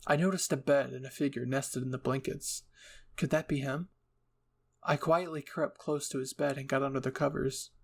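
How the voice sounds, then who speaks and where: A man's voice: mid-range voice, vocal fry. A man reading scripted fan-fiction narration as a storyteller and channel host.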